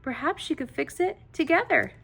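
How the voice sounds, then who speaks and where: A woman reading a children's story aloud in an expressive, deliberate style with dramatic emphasis on key words. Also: high-pitched, gravelly. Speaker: children's read-aloud host.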